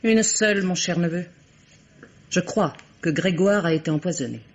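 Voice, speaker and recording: An actress playing a domineering, imperious aunt in an old French radio mystery, curt and commanding. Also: female voice, fairly low pitch, moderate pace, lightly acted. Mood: stern, commanding. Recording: dull vintage radio sound, clear hiss.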